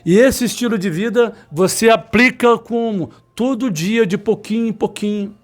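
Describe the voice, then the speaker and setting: Fifties man, high-pitched, an emphatic, repetitive motivational instructor. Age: 50s. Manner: repetitive, emphatic, insistent, with sudden slow stressed words. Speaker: electronics instructor. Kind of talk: motivational talk to students.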